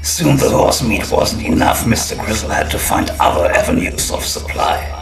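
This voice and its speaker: A man playing a ghoulish, mocking crypt-keeper who narrates a horror audio drama in a deep, gravelly, slow voice. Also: big pitch swings. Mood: sardonic storytelling. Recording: roomy, distant voice under music.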